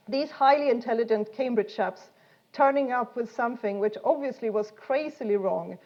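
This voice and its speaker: A woman's voice: a little high, highs cut off. A woman giving an informal science lecture in an explanatory, hesitant way, often repeating words as she goes. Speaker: speaker giving a talk.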